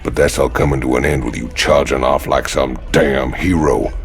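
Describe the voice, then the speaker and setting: An actor playing a cynical, hulking outlaw space marine in a video game, with a gruff, sneering drawl. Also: male voice, steady tone, moderate pace. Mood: weary, bitter. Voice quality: very deep gravelly voice. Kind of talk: video game cinematic acting. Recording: loud music behind.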